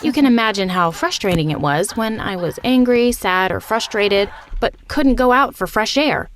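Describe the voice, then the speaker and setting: A young woman giving a scripted first-person narration of an animated story, in an expressive, confiding storytelling manner. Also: mid-range voice, moderate pace, a little hiss or hum.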